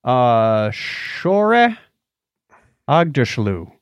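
A man co-hosts a podcast, talking through a review off the cuff. His voice sits at a middling pitch and he often restarts his phrases as he goes. The sound is dry, with little room around it. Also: big pitch swings, extremely slow, clean tone, no room sound, muffled recording. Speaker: podcast co-host reviewing films. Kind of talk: casual unscripted review chat.

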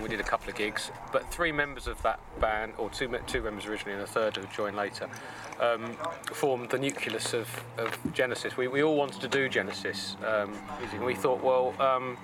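A man, a tribute band founder giving detailed, steady explanations in a casual interview outside a pub. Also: mid-range voice. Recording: outdoor street noise with passing traffic.

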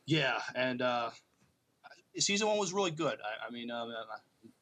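A man co-hosting a podcast, reading out notes and chatting casually with a halting, hesitant delivery. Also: mid-range voice, lively intonation, bright, forceful tone. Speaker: podcast co-host.

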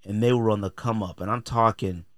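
A man's voice: fairly low pitch, steady tone, fairly quick, dry recording, studio quality. A middle-aged American man hosting a podcast, talking casually in blunt, slangy, opinionated style. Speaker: podcast host.

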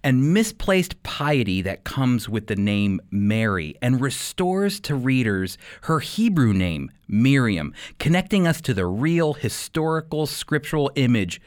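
A man teaching as a radio host in a scripted, sermon-like style, with emphatic, deliberate phrasing. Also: mid-range voice, sing-song, a little mellow, dry recording, clean studio sound.

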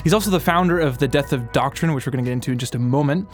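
A man's voice: mid-range voice, racing, faint music behind. A man who hosts a podcast, talking in an upbeat, chatty way and laughing easily. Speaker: podcast host. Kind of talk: casual interview. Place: radio studio.

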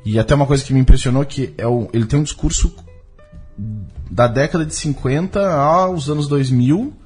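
A Brazilian man being interviewed as a film director, speaking casually and reflectively, often halting to search for his words. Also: medium pitch, darkish tone, breathy edge, soft background music.

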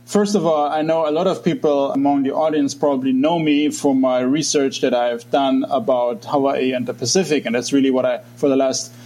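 A man in his forties from Germany with a German accent, speaking English as a second language, talking as a guest researcher in a thoughtful, halting way with many restarts. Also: mid-range voice, fairly even intonation, dark tone. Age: 40s. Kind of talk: interview talk.

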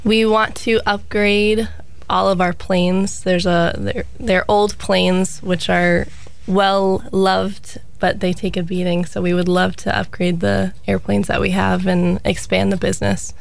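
Woman in her twenties, gravelly voice, upbeat interview guest. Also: medium pitch, little pitch movement, unhurried. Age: 20s. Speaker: pilot, flight center co-owner. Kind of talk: interview answers.

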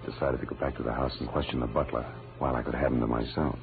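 A man's voice: very deep voice, level tone, very fast, vocal fry, slight background noise. An actor playing a wry, hard-boiled private detective in a 1940s radio drama, with a clipped tough-guy delivery on a muffled old recording.

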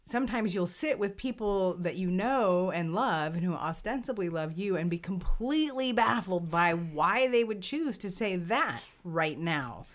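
A woman's voice: lowish voice, a little slow, hardly any pauses. A woman co-hosting a casual conversation, thinking aloud and posing questions. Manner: thoughtful, poses questions, lively emphasis. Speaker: podcast co-host.

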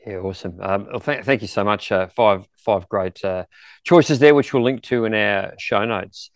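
A man hosting a casual podcast interview in a courteous, slightly halting manner. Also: fairly low pitch, dry recording, clean studio sound. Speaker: interview host.

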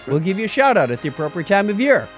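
Animated man hosting a casual podcast. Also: high voice, rapid-fire, faint music behind. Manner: wry, jokey explainer. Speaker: podcast host. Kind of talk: casual news chat with banter.

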